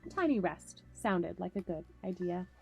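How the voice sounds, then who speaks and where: A woman's voice: fairly low pitch, expressive, normal speed, vocal fry, mellow. A woman presenting a story time for children, speaking simply and encouragingly, with music behind her. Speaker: camp director reading picture books to children.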